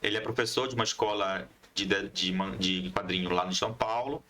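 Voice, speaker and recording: A man's voice: fairly low pitch, a little fast, sharp tone. A man with a northern Brazilian accent explaining things as a podcast guest in casual conversation, often adding little tags to check agreement. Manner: explanatory, repeats "né" as a tag. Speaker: podcast guest, comics collective member.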